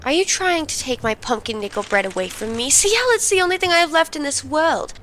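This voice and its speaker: A woman's voice: high voice, expressive, fairly quick, a bit bright, a little hiss or hum. A woman playing a teasing, cheeky young woman in an audio drama, with a playful, wryly dramatic manner.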